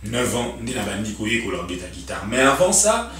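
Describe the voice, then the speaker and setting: Young congolese guy on a couch in an interview, lively, lots of ums, echoey, kinda far from the mic. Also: medium pitch, fairly quick. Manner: animated, gestures a lot, many hesitations. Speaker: musician guest. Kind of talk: casual interview in French mixed with Lingala. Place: living room studio set, on a sofa. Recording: echoey room, mic at a distance.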